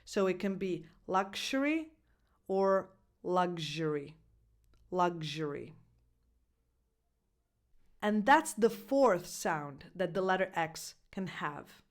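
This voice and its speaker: A young woman with an Italian accent, giving a scripted English pronunciation lesson as a coach, speaking clearly and slowing down to model words. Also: fairly low pitch, expressive. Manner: clear, deliberate, slows down to spell out words.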